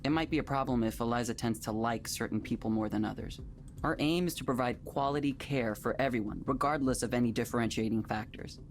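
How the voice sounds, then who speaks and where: An actor playing a polite, composed male tech company manager in a visual novel, speaking in a measured, businesslike way. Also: medium pitch, a little hiss or hum, lightly acted. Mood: measured, explaining.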